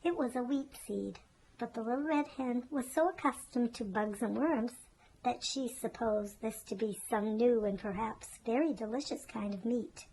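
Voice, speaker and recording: Lady with a highish voice reading a kids storybook out loud. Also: moderate pace, slight background noise, rough recording. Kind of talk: children's storybook narration.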